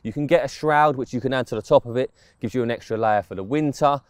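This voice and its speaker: A man's voice: medium pitch, fast, smooth, dry recording, studio quality. Chatty man reviewing gear outdoors. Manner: chatty, informal, explains specs step by step. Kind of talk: product review walkthrough. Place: outdoors in woodland.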